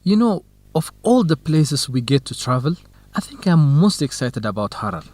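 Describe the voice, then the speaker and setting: A man's voice: on the high side, sing-song, normal speed, a little mellow, faint music behind. A man playing a friendly, curious Ethiopian field researcher in an educational radio drama, talking in a conversational, explanatory way. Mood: enthusiastic.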